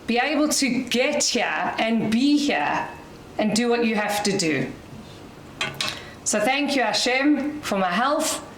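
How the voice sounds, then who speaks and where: A South African woman speaks slowly and loudly in a somewhat high voice, giving an inspirational talk with chatty asides and light laughs. The sound is echoey, as in a hall, with a faint hum behind her. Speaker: guest speaker at a community dinner.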